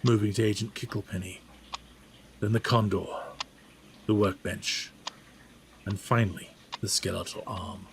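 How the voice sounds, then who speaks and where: A man's voice: fairly low pitch, steady tone, a little slow, vocal fry, pauses often, a little hiss or hum. A man giving dramatic, scripted story narration.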